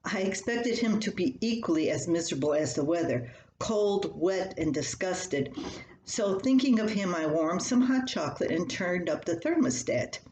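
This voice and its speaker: A woman's voice: lowish voice, lively intonation, moderate pace, darkish tone. An older woman reads her own personal story aloud in a homespun, chatty way. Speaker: columnist reading own story. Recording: roomy echo, faint background noise.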